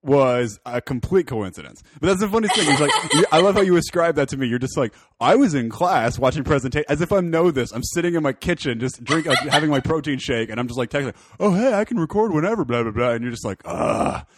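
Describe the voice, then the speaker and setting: A young man co-hosting a podcast, his voice hoarse, rambling and joking with many laughs. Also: fairly high pitch, animated, quick pace, hardly any pauses, noisy background. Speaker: podcast co-host. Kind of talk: casual podcast chat.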